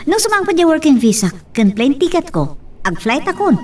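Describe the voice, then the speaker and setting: Actress as high-voiced, exasperated wife, off-mic. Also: female voice, very animated, normal speed, over loud music. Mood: firm, frustrated. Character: determined, exasperated wife planning to work abroad. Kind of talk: Ilocano radio-style audio drama. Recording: distant from the microphone.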